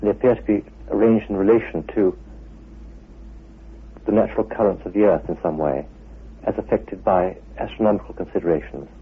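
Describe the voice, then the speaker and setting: A man giving an expository talk as an expert, in deliberate phrases that he often restates. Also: lowish voice, breathy edge. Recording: narrow, phone-like old radio recording.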